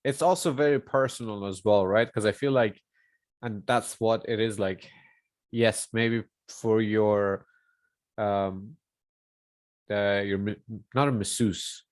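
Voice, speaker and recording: Man chatting haltingly, dry close sound. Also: fairly low pitch, steady tone, dry recording. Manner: halting, searching for words. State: lingering cough. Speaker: podcast guest and personal trainer. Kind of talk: casual podcast chat.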